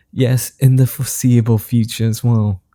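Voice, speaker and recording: A man voicing a gentlemanly male vampire in an ASMR roleplay, speaking in formal, old-fashioned phrases and chuckling softly. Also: medium pitch, flat delivery, a little slow, dry recording, clean studio sound, lightly acted. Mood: amused.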